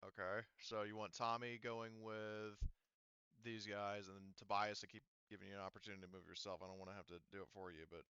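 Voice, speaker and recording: A man acting as game master, casually narrating and refereeing a tabletop game in a halting, think-aloud way. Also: fairly low pitch, level tone, sharp tone, clear, resonant tone, no room sound, highs cut off. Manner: halting, thinking aloud while working out details.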